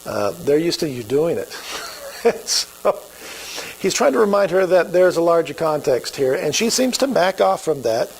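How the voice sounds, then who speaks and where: A man's voice: fairly high pitch, sing-song, normal speed, creaky, rough recording. A man with an Oklahoma accent preaching a sermon as pastor, in a folksy, conversational way.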